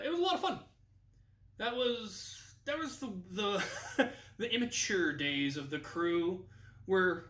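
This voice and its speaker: An American man in his thirties telling a casual anecdote as a video host, in an animated manner. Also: sits high. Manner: animated, acts out quoted lines. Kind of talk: casual anecdotal storytelling to camera. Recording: echoey room, distant microphone.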